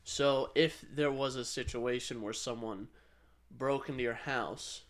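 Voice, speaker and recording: A man's voice: medium pitch, fairly even intonation, measured pace, a bit bright. American man in casual podcast chat. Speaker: podcast co-host.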